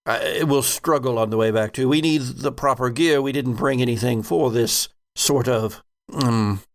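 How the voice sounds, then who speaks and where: A man voicing a fastidious lead scientist with a formal, deliberate manner in a tabletop game. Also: mid-range voice, close to an everyday voice. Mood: concerned.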